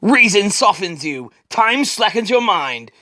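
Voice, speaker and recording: Man putting on a slow, grave, undying shadowy avenger. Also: high voice, sing-song, sharp tone, no echo, studio quality, voice pushed up, heavily acted. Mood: cold, ominous. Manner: slow, grave, ominous pronouncements. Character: undying, unfeeling, cryptic shadowy avenger, male. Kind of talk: comic book dramatic reading.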